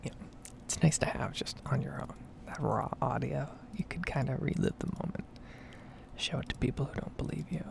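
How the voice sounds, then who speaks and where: A woman softly whispering a casual, rambling ASMR commentary over a game. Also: extremely low pitch, brisk, gravelly, noisy background. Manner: soft-spoken, rambling, casual asides. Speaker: gaming video creator.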